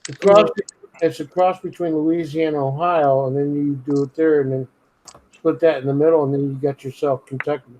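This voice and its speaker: A man with a slight Brooklyn-ish accent grumbles bluntly and swears freely in casual chat. His voice sits a bit high, with faint noise behind it. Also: fairly even intonation, fairly quick, a little mellow, low-quality recording. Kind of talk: casual late-night chat.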